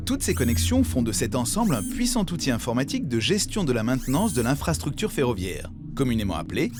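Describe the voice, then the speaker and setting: Man playing an animated, helpful cartoon software mascot. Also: medium pitch, fast talker, music in the background. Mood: proudly presenting. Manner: upbeat, presentational. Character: eager, helpful, self-assured four-armed male software mascot. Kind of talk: scripted explainer in an educational cartoon.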